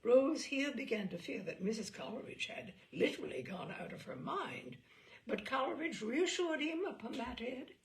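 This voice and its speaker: A woman reads amusing anecdotes aloud in a fairly high voice edged with creak. Her delivery is theatrical and deliberate, swinging widely in pitch to land the key words. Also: lively intonation, recorded from a distance, a little hiss or hum, poor audio. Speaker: storyteller reading aloud. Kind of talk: animated dramatic reading of humorous anecdotes.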